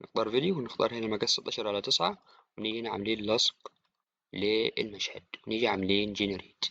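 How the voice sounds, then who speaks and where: A man with an Egyptian accent giving an informal software tutorial, talking to the viewer like a friend. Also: on the low side, racing, gravelly, dry recording. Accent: Egyptian Arabic. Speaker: tutorial presenter. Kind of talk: casual screen-recorded software tutorial. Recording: dry, slightly dull, with clicking.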